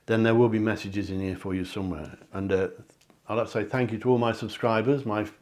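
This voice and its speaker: A man, a psychic hosting a card reading, talking in a chatty, encouraging way that often corrects itself, his voice a little hoarse. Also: on the low side, steady tone, fast talker, darkish tone. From England. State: slightly hoarse, clearing throat. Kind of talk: tarot-style card reading to camera. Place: home near the sea.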